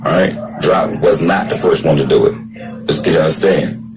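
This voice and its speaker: Very low-voiced man over music. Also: moderate pace. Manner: loose, repeats "all right". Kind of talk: casual commentary. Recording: clear music behind the voice.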